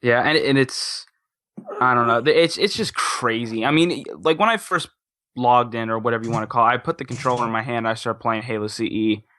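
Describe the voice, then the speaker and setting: Young male podcast talker: crisp bright tone, casual, enthusiastic, wordy, recorded close and dry. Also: medium pitch, fairly quick, no echo. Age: young adult.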